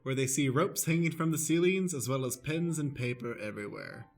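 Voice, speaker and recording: Man, deadpan narrator, mid-pitched voice, reading stage directions, radio play, faint music behind. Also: fairly quick.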